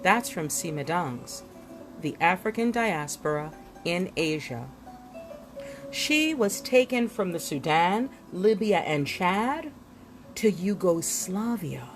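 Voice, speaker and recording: A woman reading a history book aloud in an expressive, storytelling way, with deliberate dramatic pauses and emphasis. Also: fairly low pitch, faint music behind. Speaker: reader presenting an illustrated history book. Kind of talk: expressive read-aloud narration with asides.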